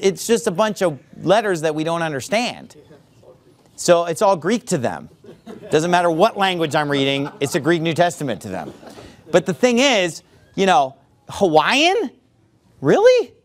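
A middle-aged man preaching a sermon in a church, emphatic and sarcastic, with sudden shouted words. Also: high voice. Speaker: pastor.

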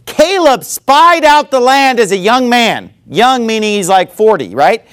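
Preacher, man in his thirties, high-pitched, lively and conversational, reads scripture. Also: a little slow, clean tone. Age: 30s. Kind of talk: sermon.

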